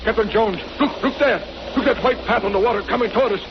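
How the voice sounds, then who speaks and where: An actor playing an uneasy, superstitious first mate in a 1930s radio drama. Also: male voice, sits high, fast, background noise. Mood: alarmed. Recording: muffled old 1930s radio recording, music behind.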